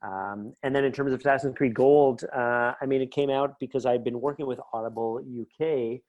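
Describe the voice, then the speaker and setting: A man's voice: medium pitch, fairly even intonation, brisk, a little mellow, dry recording, lo-fi sound. A middle-aged Canadian man chatting casually and enthusiastically as an interview guest, going off on tangents. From Northern Ontario, Canada. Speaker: podcast guest, writer.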